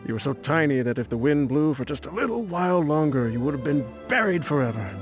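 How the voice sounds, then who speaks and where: A man's voice: medium pitch, lightly acted. An actor playing an ailing, aging desert warband leader in a game, chuckling and boastful as he rambles. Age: older.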